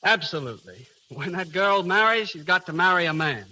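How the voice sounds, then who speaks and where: A man's voice: high voice, lively intonation, a little fast, sharp tone, full character voice. A man acting an earnest, polite young waiter in a 1930s radio drama. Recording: hissy, crackling 1930s radio recording.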